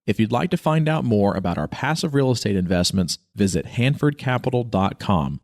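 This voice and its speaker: Smooth male podcast host, dry sound. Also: lowish voice, animated, brisk, darkish tone, no room sound. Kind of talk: scripted intro and ad read, then interview questions.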